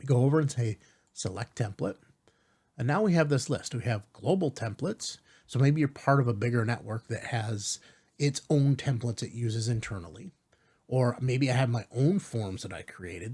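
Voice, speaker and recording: A man hosting a casual step-by-step software tutorial, explaining as he goes and often checking in with the listener. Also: mid-range voice, moderate pace, breathy edge. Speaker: tutorial host.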